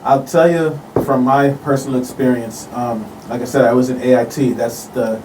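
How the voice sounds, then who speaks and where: A man's voice: mid-range voice, fairly even intonation, normal speed, far from the mic. Casual man in a roomy space. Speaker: musician guest. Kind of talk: casual interview chat. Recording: roomy, off-mic, some background noise.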